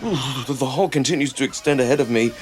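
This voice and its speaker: A man reading a visual novel in the first person as a theatrical, expressive narrator. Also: mid-range voice, a little fast. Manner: theatrical, expressive, acts out emotion. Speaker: narrator and performer. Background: music.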